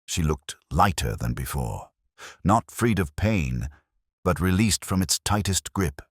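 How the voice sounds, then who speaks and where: A man playing a brooding, world-weary police inspector who narrates his case in solemn, measured, literary prose, like a melancholy detective audio story. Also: low voice, very animated, husky, no echo, clean studio sound, exaggerated voice. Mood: melancholy, reflective. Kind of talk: scripted first-person detective story narration.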